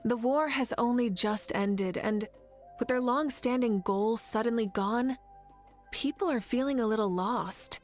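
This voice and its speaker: A woman voicing a thoughtful adult game character who tells of events in a calm, measured way. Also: a little high, soft background music, lightly acted. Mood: reflective.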